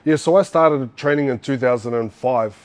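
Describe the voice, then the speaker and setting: A man's voice: mid-range voice, moderate pace. A man in his forties with a New Zealand accent, telling his story in a casual interview, rambling with fillers and restarts. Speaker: guest, former fighter.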